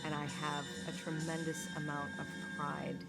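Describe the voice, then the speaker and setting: Candid woman with a lowish voice. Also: level tone, normal speed, gravelly, loud music behind the voice. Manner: candid, often laughing mid-sentence. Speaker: Paralympic skier telling her story. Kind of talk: documentary interview narration.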